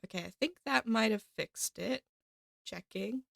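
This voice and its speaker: A woman's voice: a little high, unhurried, gravelly, no echo, studio quality. A woman streaming from her home setup on a desk mic, chatting casually with her viewers and thanking subscribers, a little stuffy from a cold. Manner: chatty, upbeat, talks to chat and thanks subscribers. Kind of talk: casual live-stream chat. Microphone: desk microphone with headphones.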